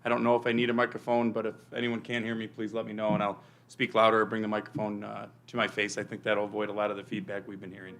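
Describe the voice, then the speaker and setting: Man, police chief, meeting room presentation, rapid, flat delivery. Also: on the low side. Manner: speaks loudly without a microphone, filler-heavy. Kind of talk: presentation to a village board.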